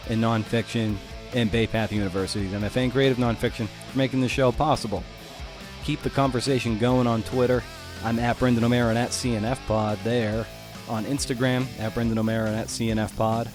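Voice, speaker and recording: A man hosting a podcast in a casual, chatty way, with informal, slangy wording. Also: medium pitch. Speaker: podcast host.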